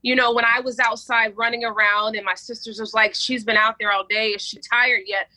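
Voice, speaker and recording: A woman in her forties, a coach speaking as a guest in an interview, energetic and emphatic as she tells stories. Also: fairly high pitch, fairly quick, low-quality recording. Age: 40s. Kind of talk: interview conversation.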